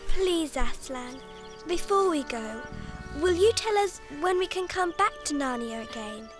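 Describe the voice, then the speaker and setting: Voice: sits high, expressive, a little slow, over music. A performer playing an earnest, devoted young schoolgirl in a radio drama, tender and pleading.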